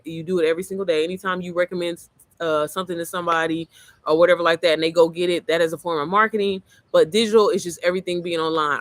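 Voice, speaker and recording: A young adult woman with a low, manly-sounding voice, talking as an online business coach in a casual, profane screen-share walkthrough, calling the viewer girl and baby. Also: fairly bright tone, no room sound.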